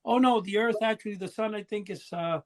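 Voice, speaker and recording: A man's voice: sits high, a little fast. A middle-aged or older man co-hosting a podcast, talking in a rambling, conversational way. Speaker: podcast co-host. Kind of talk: casual panel chat.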